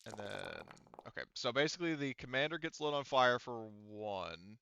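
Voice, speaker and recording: A man's voice: medium pitch, lively intonation, moderate pace, vocal fry, pushed and bright, smooth, dry recording. A man running a tabletop roleplaying game as game master, talking through dice rules in a casual, profane way over slightly dull audio.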